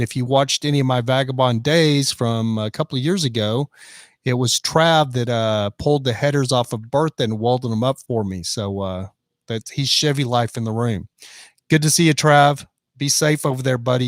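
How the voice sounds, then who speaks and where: A man's voice: medium pitch, no room sound, clean studio sound. A man in his fifties hosting a casual live stream, chatting and reading out viewer comments. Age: 50s. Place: van in the rain.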